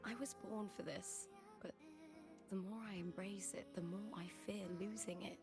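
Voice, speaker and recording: An earnest young female gladiator voiced by a woman from a video game story. She speaks warmly and proudly at a middle pitch, reflective and sincere, with clearly audible music behind her. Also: steady tone, normal speed, natural voice. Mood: wistful, fearful.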